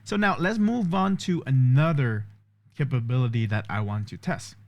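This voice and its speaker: A man's voice: mid-range voice, moderate pace, no echo. A man vlogging a test of an AI voice assistant, explaining step by step and thinking aloud. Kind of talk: casual tech demo commentary.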